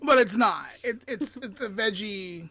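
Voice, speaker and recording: A woman's voice: mid-range voice, animated, a little slow, a crowd in the background. A woman co-hosting a podcast in casual chat, with a giggly, jokey, informal manner. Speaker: podcast co-host.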